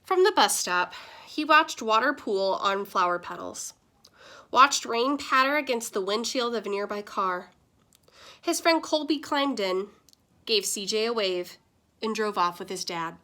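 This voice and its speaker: A woman teacher reading a children's picture book aloud with expressive, lively storytelling. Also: medium pitch, a little slow.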